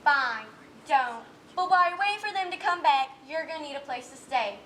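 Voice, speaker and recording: A woman acting a gruff, hard-bitten farm wife on stage, blunt and rustic in her speech, projecting to the house. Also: sits high, lively intonation, fairly quick, bright, forceful tone. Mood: firm, wry. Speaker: student actor in a one-act play. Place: indoor stage, recorded live. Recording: roomy stage recording, faint background noise.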